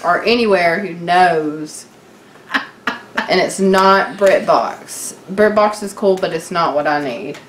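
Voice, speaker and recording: A woman's voice: lowish voice, slow. A woman chatting casually and unscripted as a co-host, informal and wry, joking and swearing mildly. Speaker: podcast co-host. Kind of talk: casual unscripted chat about TV. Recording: echoey room, background noise.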